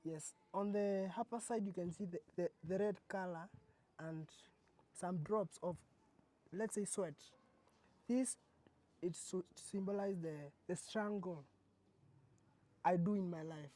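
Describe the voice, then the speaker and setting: A teenage female student with a Kenyan accent, explaining earnestly and haltingly, often repeating words. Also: on the low side, dark tone. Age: teens. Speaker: secondary school student. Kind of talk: explanatory presentation.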